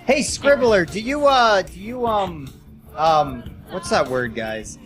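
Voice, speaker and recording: Young Canadian woman, very lively intonation, teasing, informal podcast host, music playing behind. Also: fairly low pitch, slow pace. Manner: slangy, excitable, teasing. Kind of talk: casual chat.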